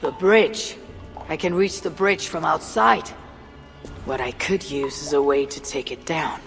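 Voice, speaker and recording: A woman playing a bold Norse warrior and raider in a video game, speaking in a measured, blunt, grave way. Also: deep voice, gravelly, a bit bright, faint music behind, heavily acted. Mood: determined. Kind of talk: video game cutscene acting.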